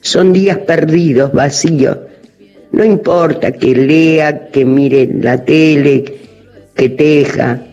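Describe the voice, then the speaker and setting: A woman's voice: low voice, slow, mellow. An older woman with grandchildren, speaking in short, sober, heartfelt phrases. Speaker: older caller. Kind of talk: recorded personal testimony. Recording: muffled phone recording.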